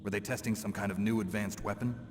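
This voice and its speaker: An actor playing a gruff, world-weary retired soldier in a video game, terse, dry and skeptical, with a gravelly voice. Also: male voice, on the low side, steady tone, fast talker, natural voice. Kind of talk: dramatic video game voice acting. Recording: noisy, long reverberant hall sound.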